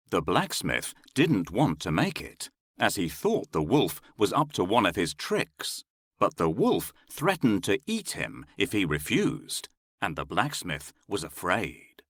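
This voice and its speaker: A man reads a children's story in a low voice that rises and falls a great deal. His narration is unhurried and recorded dry. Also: fairly bright tone, no room sound.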